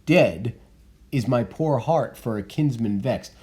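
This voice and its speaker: A man's voice: animated, slow pace, darkish tone. A man reading as a distraught young noblewoman. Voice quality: pitched-up, emphatic theatrical reading. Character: distraught young noblewoman hiding her feelings. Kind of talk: dramatic reading of verse.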